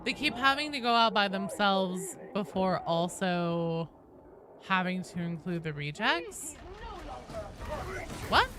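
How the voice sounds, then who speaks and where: Female game streamer, lively swinging intonation, profane joking slangy chat, clear ambient noise behind. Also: medium pitch, slow, long pauses. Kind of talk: casual live-stream chat while playing a game.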